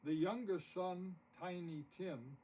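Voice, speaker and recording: A man narrating a rhymed story poem expressively, with dramatic, deliberate phrasing. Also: high voice, dark tone, breathy edge. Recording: distant mic with audible noise.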